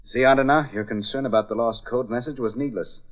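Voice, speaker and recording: A man's voice: medium pitch, fast, lightly acted. Man as stiff Nazi agent, tinny radio. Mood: scornful, proud. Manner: stiff, formal diction. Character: proud scornful Nazi saboteur agent, Hans. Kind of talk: 1940s radio drama acting. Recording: tinny vintage radio recording.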